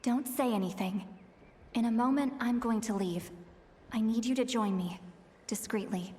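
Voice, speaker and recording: An actress playing a calm, scholarly young woman in a video game, explaining in a measured, articulate way. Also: fairly high pitch, breathy edge. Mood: discreet. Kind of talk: video game dialogue, expository.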